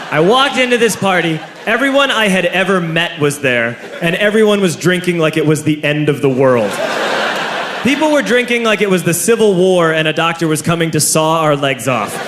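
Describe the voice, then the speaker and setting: Guy doing standup, kinda high voice, very theatrical storytelling, echoey live room w crowd laughing. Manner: emphatic, theatrical delivery with shouted punchlines. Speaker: stand-up comedian. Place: live venue with an audience. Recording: roomy live stage recording with loud audience. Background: laughing crowd, background noise.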